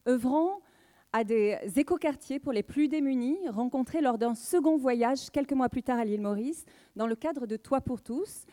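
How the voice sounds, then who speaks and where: Female, late 30s. Slightly high pitch, dark mellow tone; earnest, measured read of a personal faith testimony. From southwest France. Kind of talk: prepared spoken testimony.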